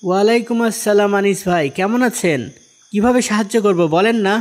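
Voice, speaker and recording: A man's voice: high voice, brisk, natural voice. A man voicing a kindly, devout and patient fisherman husband in a cartoon, speaking with assured, explaining manner. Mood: grateful, devout. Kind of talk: animated cartoon dialogue in Bengali. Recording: music behind the voice.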